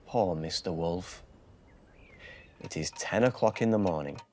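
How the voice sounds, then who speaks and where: A man narrating a children's bedtime story in a theatrical, expressive way. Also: low-pitched, unhurried, a little hiss or hum.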